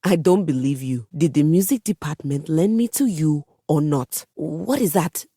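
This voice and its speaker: A woman's voice: sits low, animated, normal speed, warm tone, husky, no room sound, studio quality, full character voice. A woman voicing a vain, refined piano diva in a video game, speaking in a grandiose, pompous way with precise wording. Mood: grand, self-assured. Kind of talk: theatrical video game character acting.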